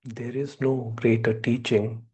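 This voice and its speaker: A man speaking as a meditation teacher in a guided meditation, in short measured phrases with long pauses. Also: mid-range voice, flat delivery, a little mellow, breathy edge. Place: online video call session.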